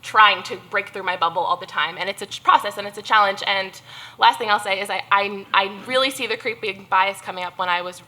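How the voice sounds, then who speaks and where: A young woman journalist on a panel, talking earnestly and quickly with many restarts. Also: medium pitch, bright, forceful tone, rough recording. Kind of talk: panel discussion.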